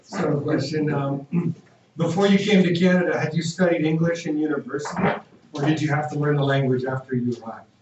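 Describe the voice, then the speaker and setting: A man's voice: a little high, fairly even intonation, brisk. A man in the audience asking friendly, encouraging questions of a guest speaker. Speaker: club member asking questions. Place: meeting room. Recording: very echoey, distant, dull and noisy.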